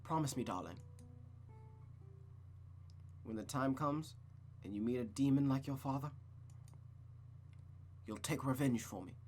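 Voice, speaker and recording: A man's voice: medium pitch, a little slow, pauses often, darkish tone, soft background music, natural voice. Man voicing a cold, controlling, bitter mother. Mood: cold, brooding. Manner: cold, commanding, lecturing. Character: controlling, bitter, menacing mother, adult woman. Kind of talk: visual novel voice acting.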